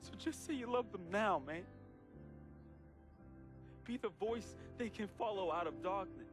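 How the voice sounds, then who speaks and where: An actor playing a remorseful, devoted young man who confesses and says farewell in a dramatic video game monologue, with slangy phrasing and deliberate pauses. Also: high voice, animated. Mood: emotional, solemn. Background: music.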